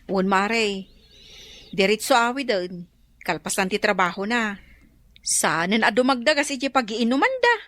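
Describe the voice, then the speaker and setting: A woman's voice: mid-range voice, lively intonation, a little slow, pauses often, a bit bright. A woman playing a nosy, scheming and pushy neighbour in an Ilocano radio drama, with an Ilocano accent. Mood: gossiping.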